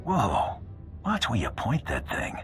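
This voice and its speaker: An actor playing a curt, no-nonsense male bounty hunter with a deep, gravelly voice in a video game. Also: wildly swooping pitch, takes it slowly, a bit bright, soft background music, exaggerated voice. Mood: cool. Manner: curt, cool, intimidating. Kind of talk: video game cutscene acting.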